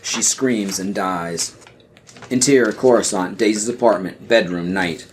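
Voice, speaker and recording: A man's voice: fairly low pitch, a little slow, slight background noise. A man reading scripted scene directions as the narrator of an audio play.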